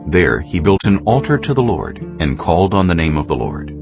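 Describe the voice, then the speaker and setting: A man narrating a dramatized scripture recording in a measured, solemn storytelling style. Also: deep voice. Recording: muffled sound under clear music.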